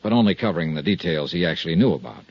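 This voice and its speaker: A shrewd American insurance investigator from a 1950s radio drama. His voice is low and a little rough, with lively swings as he probes in a clipped, coolly polite way. The sound is an old, muffled broadcast. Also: racing, no room sound, heavily acted. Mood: measured, probing. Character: tough, shrewd freelance male insurance investigator. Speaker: radio drama lead actor. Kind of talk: 1950s radio detective acting, narration and dialogue.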